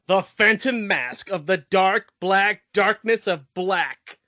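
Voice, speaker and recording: High pitched guy podcast cohost, casually explaining stuff, muffled lo-fi audio. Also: takes it slowly, bright, forceful tone. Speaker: podcast co-host. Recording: dull lo-fi audio.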